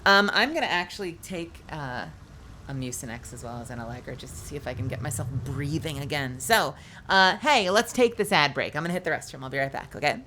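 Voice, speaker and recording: A woman's voice: sits low, lively intonation, fairly quick, pushed and bright, a little hiss or hum. A woman streaming a game live, chatting casually with her audience while congested and nasal. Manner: chatty, scattered, talks to chat. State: stuffy, congested.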